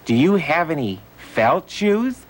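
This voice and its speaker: A woman's voice: low voice, very animated, slow, full character voice. Eager, bouncy childlike boy puppet. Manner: repetitive, emphatic teaching of letter sounds. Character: eager childlike male puppet letter with big funny feet. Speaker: puppet character on educational show. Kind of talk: children's educational puppet show dialogue.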